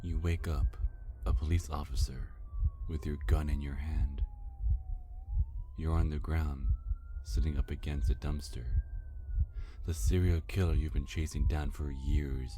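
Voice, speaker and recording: A man's voice: a bass voice, steady tone, measured pace, long pauses, mellow, a little hiss or hum. A man narrating a scripted second-person story for an ASMR roleplay, over street ambience.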